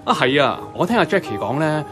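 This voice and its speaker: A friendly office man in a Cantonese radio drama from Hong Kong. He is casual and sociable, with very mobile pitch, over faint music. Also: very animated, fairly quick. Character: friendly male office crush inviting a colleague out.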